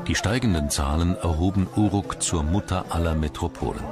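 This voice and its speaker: A man reading scripted documentary narration in a measured, formal manner. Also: low voice. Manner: measured, formal read delivery. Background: background music.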